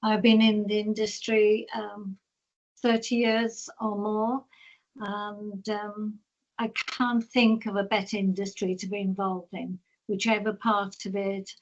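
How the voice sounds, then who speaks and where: A woman's voice: mid-range voice, level tone, slow pace, muffled recording. A Welsh-speaking woman in her fifties from North Wales, chatting in a rambling, self-deprecating way on a video call. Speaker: tour operator.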